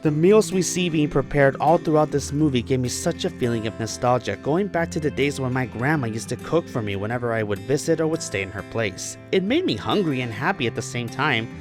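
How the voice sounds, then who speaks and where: A man narrating a scripted but casual, profane film review in an emphatic, opinionated way. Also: medium pitch. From Puerto Rico. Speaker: movie reviewer. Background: music behind the voice.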